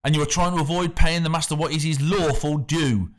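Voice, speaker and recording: A man's voice: fairly high pitch, lively intonation, quick pace, a bit bright, voice pushed up. A man voicing a male tax factor who speaks affably with an underlying menace. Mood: smooth menacing. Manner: smooth talk turning to snapping threats.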